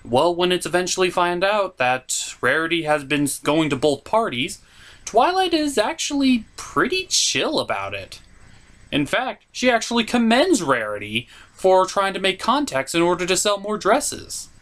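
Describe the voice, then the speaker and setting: A man's voice: high voice, big pitch swings, normal speed, fairly bright tone. A man hosting a comedic cartoon review, talking through the episode as commentary.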